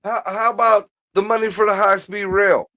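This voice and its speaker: An American man co-hosting a casual show, joking crudely and ranting in animated bursts. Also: sits high, normal speed. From United States. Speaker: podcast co-host. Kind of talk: casual banter.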